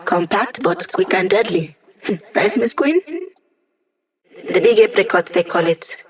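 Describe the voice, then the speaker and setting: A woman's voice: lowish voice, expressive, unhurried. A woman voice actor playing an upbeat, wisecracking young woman hacker in a video game, casual, playful and teasing.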